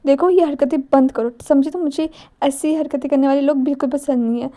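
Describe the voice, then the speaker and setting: A woman's voice: high voice, fairly even intonation, brisk, dark tone, natural voice. A woman voicing a cold, irritable young man who scolds curtly. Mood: angry. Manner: curt, scolding.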